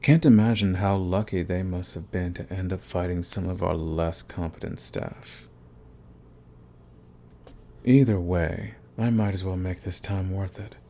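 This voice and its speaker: Man acting a smug villain, low deep male voice, flirtatious, taunting drawl, intimate ASMR romance roleplay. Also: steady tone, a little slow, vocal fry, dark tone, slight background noise. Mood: commanding, teasing. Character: smug, teasing male villain fond of the enemy. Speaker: audio roleplay voice actor.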